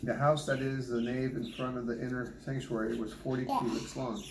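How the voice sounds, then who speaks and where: A man's voice: mid-range voice, little pitch movement, moderate pace. A man reading scripture aloud as a Bible reader, sometimes stumbling and rereading words. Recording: noisy, echoey home recording with clicks.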